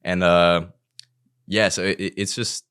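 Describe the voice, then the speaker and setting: A young man talks in a low, deep register as a podcast guest. His speech rambles loosely, full of filler words and restarts, in a relaxed conversational way. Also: measured pace, smooth. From Iran. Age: young adult. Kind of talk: casual podcast chat.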